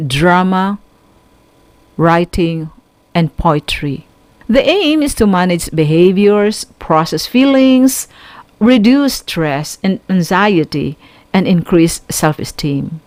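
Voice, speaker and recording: A woman with a Filipino accent giving a scripted radio lecture as a teacher, deliberate and instructional, speaking directly to her students. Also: mid-range voice, darkish tone, no echo. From Philippines. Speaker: teacher hosting a radio school lesson.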